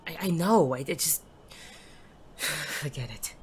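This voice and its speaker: A performer playing a world-weary, self-doubting male private eye and war veteran in an audio drama, gruff, hesitant and prone to swearing. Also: medium pitch, slow, crowd noise, lightly acted. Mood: troubled, despairing. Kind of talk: intimate audio drama dialogue. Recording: clearly noisy background ambience.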